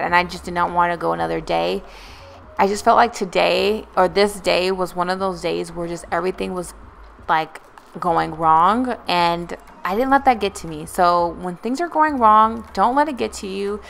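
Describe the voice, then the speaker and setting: A woman's voice: fairly low pitch, vocal fry, a bit bright. A young woman vlogger talking casually and encouragingly in a voice-over about cleaning her home, with many fillers. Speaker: lifestyle vlogger.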